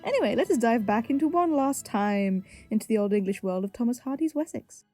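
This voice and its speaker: A woman's voice: on the high side, expressive, brisk, warm tone. A woman hosting an audio drama, giving a chatty spoken introduction as its writer and producer. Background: music.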